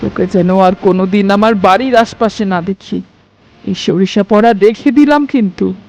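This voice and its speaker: A stern, suspicious middle-aged mother-in-law, played by a woman in a family cartoon. She scolds and commands in a warm, dark, mid-pitched voice over faint music. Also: normal speed, lightly acted, low-quality recording. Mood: stern scolding. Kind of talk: Bengali cartoon voice acting.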